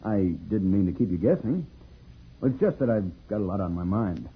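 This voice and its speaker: An actor playing a calm, protective space adventurer in a 1950s radio serial, reassuring as he explains things. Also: on the low side, moderate pace, husky, full character voice. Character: Dancer the Planet Man, calm protective adult male space adventurer. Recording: tinny, muffled old radio recording.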